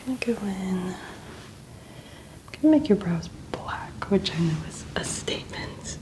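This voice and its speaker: A woman giving gentle, slow ASMR instructions as a makeup artist, speaking in a soft, breathy whisper. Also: mid-range voice, animated, poor audio.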